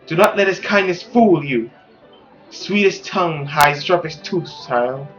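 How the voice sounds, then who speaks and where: A man voicing a stern, cynical mother in a visual novel, measured and admonishing. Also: a little high, expressive, a bit bright, highs cut off, soft background music.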